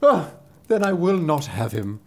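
A man's voice: fairly high pitch, big pitch swings, unhurried, gravelly, a little mellow, pitched above the performer's own voice, exaggerated voice. A man voicing a proud, choosy widowed vixen in a storybook, read in a grand theatrical way. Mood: haughty.

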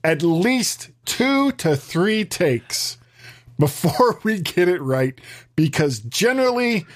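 A man co-hosts a podcast in easy, casual banter. His voice is scratchy and strained, a bit high for a man, with slow pacing and lively rises and falls. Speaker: podcast co-host.

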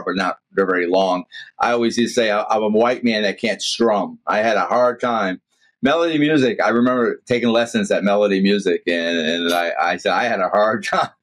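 A man with a deep baritone voice hosting a podcast interview, talking in a rambling way with many restarts. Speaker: podcast host. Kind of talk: casual interview chat.

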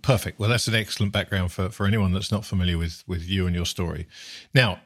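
A man with a fairly low voice hosts the podcast, talking in an easy, conversational way. He frames his questions loosely, repeating a word now and then as he goes. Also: fairly even intonation, quick pace. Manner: conversational, repeats words while framing questions. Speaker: podcast host. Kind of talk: podcast interview.

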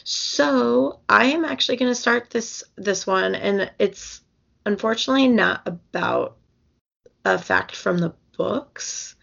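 Young woman podcast host, slow and rambly, gravelly voice going hoarse, lo-fi sound. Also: mid-range voice, animated, a bit bright. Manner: rambling, many fillers and restarts. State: losing her voice. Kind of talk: casual book podcast chat.

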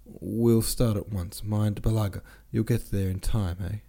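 A man voicing a brash, bossy young gentleman rake from a novel, commanding and informal. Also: lowish voice, steady tone, unhurried, creaky. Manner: commanding, peppers orders with "eh?".

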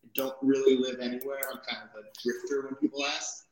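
A man's voice: mid-range voice, little pitch movement, fairly quick, crowd noise. Laid-back man in his twenties, echoey. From New Jersey. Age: 20s. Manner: laid-back, laughs often, many fillers. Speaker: podcast guest. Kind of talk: casual chat.

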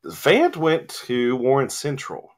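A man's voice: medium pitch, sing-song, takes it slowly. A man hosting a casual sports radio show in a studio, rambling with many ums and fillers, his voice starting to go. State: losing his voice, coughing. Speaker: sports talk host. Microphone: studio mic with cough button.

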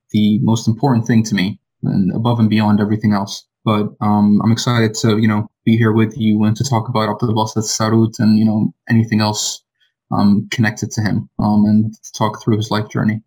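A male podcast guest talks in a low, level voice. He stays hesitant throughout, filling his pauses with ums and often restarting his thoughts. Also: darkish tone, rough recording. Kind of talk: casual podcast conversation.

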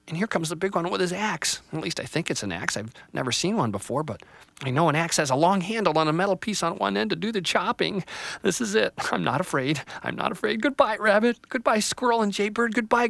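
Storybook sapling girl, high and fretful, wide swoops, man reading. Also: expressive, fairly quick, vocal fry, fairly bright tone, dry recording, full character voice. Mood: braced. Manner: anxious, hopeful, wondering aloud. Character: timid young female sapling tree.

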